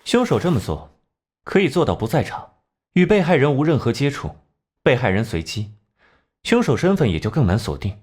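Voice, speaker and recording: A man playing a composed, sharp-tongued genius detective in an animated crime drama, measured and condescending. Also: mid-range voice, breathy edge. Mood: smug, mocking.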